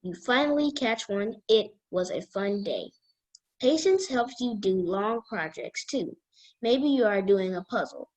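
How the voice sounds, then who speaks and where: A woman guest reader reading a children's book aloud in a deliberate way. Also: mid-range voice, expressive, a little mellow, rough recording.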